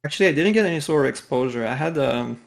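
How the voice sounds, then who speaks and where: Man, Quebec French accent, very gravelly voice, hesitant podcast guest, lots of fillers. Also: on the high side, animated, quick pace. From Quebec, Canada. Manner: hesitant, many fillers and restarts. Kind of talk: casual interview chat.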